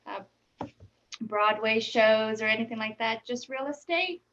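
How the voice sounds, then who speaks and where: High, slow, giggly woman co-host. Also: fairly bright tone, recorded from a distance, muffled recording, a little hiss or hum. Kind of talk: casual interview chat.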